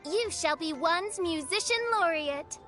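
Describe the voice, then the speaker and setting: Pompous teenage princess: piercingly high, archaic grand delivery, video game, music behind. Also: female voice, a little slow, sharp tone, heavily acted. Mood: imperious. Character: grandiose teenage girl playing dark princess, theatrical.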